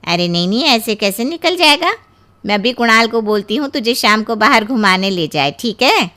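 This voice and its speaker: Woman acting a bright, motherly mother-in-law. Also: fairly high pitch, lively intonation, normal speed. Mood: affectionate. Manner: motherly, coaxing. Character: affectionate middle-aged Indian mother-in-law, playful and caring. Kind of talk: animated Hindi moral story voice acting.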